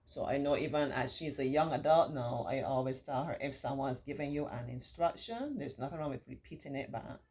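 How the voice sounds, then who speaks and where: Middle-aged female storytime tutor, deep voice, reassuring and encouraging, stretches key words while reading and explaining to children and parents. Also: brisk, few pauses, darkish tone. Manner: encouraging, reassuring, repeats points for emphasis. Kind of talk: read-aloud story with warm, informal teaching asides.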